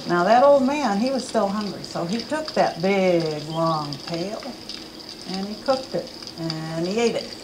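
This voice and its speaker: A woman at a library event reading a children's story aloud with dramatic, expressive delivery. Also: mid-range voice, a little slow, background noise. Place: campus event room.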